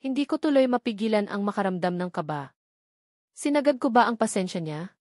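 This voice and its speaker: A woman narrates a romance audiobook in the first person. Her voice sits at an average pitch for a woman. The recording is dry, with little room sound. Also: lively intonation, brisk, darkish tone, no echo.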